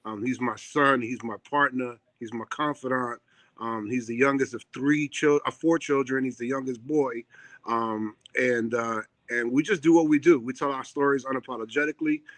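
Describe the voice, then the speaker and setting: A man's voice: medium pitch, normal speed, a bit bright, no room sound. Middle-aged New York man, theater owner and chef, earnest, repeats himself, dry close sound. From Puerto Rico. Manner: earnest, repetitive, many ums and restarts. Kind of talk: casual interview chat. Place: theater seat on a live call.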